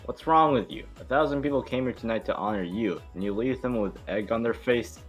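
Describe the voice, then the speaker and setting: A man's voice: medium pitch, brisk, faint music behind, lightly acted. A man reading the part of an earnest military officer and exasperated loyal friend in an amateur table read.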